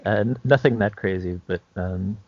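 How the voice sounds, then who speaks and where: Low-voiced, hesitant man in casual chat. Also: moderate pace, husky, dry recording, lo-fi sound. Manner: hesitant, understated. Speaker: podcast co-host and game master.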